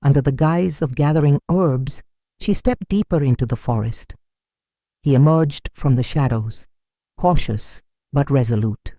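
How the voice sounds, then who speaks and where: A narrator delivers a scripted folktale with a solemn, dramatic air. The voice is dark and mellow, at a middling pitch, and very dry and close, with no room sound. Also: unhurried, no echo.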